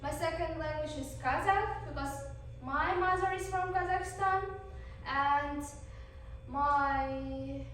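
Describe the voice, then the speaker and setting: A teenage girl from Uzbekistan, native in Uzbek, presents to her class in a high voice, taking her time. She is picked up from well across a very echoey room, with a faint hiss underneath. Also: fairly bright tone. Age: teens. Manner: slow, careful, with pauses and fillers. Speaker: exchange student presenter. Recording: roomy, distant, faint noise.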